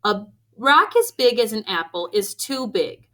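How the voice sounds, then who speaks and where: A woman reading a picture book aloud to children expressively, with deliberate emphasis on key phrases. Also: medium pitch, big pitch swings, a bit bright. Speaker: read-aloud storyteller for children. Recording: faint background noise.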